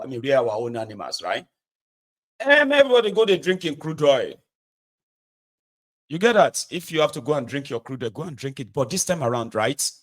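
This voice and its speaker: A Nigerian man in his forties giving an impassioned political monologue, emphatic and rhetorical, jumping between loud outbursts and quiet asides. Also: mid-range voice. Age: 40s. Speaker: political commentator.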